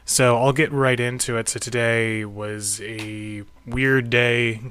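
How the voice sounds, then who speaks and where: A man's voice: medium pitch, steady tone, slow pace, a bit bright. A man talking off the cuff in a casual, reflective audio diary, with an encouraging manner. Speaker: host of a karate training audio log.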